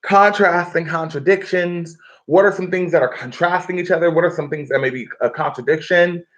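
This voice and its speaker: A man's voice: on the high side, fairly quick, fairly bright tone. A man teaching a live online reading lesson from his living room, speaking instructively and often checking in with his pupils. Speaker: schoolteacher. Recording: dull, lo-fi livestream audio.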